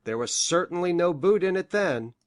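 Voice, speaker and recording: Woman as a male country doctor, sober, matter-of-fact, voice dropped low, dry close audiobook sound. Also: lively intonation, normal speed, dry recording, natural voice.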